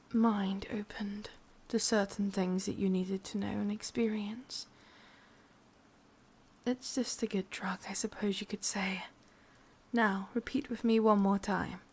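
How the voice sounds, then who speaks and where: An English-accented witch, acted out by a woman whispers right up close in an ASMR roleplay. Her voice is breathy and husky at a medium pitch. She speaks very slowly, with a wounded, possessive devotion and a sadistic streak. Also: darkish tone, full character voice. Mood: soothing, coaxing. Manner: breathy whispering, slow intimate delivery. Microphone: close microphone.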